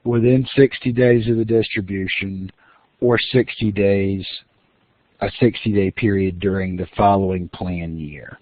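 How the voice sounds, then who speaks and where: A man's voice: deep voice, fairly even intonation, unhurried, no echo. A man giving a recorded lecture as the instructor, explaining step by step with fillers and restarts. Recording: dry, phone-like lo-fi audio.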